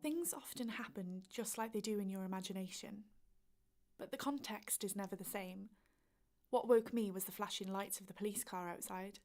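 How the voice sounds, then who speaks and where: An actress plays a jumpy woman neighbour with a vivid imagination. She speaks at a middle pitch, telling her story as a monologue in a radio play. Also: normal speed, darkish tone, faint music behind, close to an everyday voice. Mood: wry, recounting alarm.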